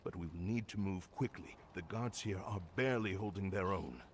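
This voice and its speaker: An actor playing a grim, weary guard in a video game, speaking gravely and earnestly. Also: male voice, deep voice, normal speed, natural voice. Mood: resolute. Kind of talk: dramatic video game acting. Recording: faint background noise.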